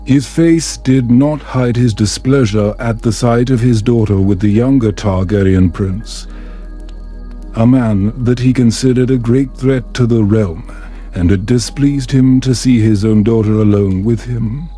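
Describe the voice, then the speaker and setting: A man giving a calm, soothing, measured narration of a bedtime tale. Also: medium pitch. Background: background music.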